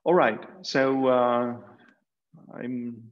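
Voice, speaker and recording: A middle-aged man giving an academic keynote over a video call, measured and full of fillers and restarts. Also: medium pitch, fairly even intonation. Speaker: keynote speaker. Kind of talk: academic conference talk. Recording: dull lo-fi video call audio.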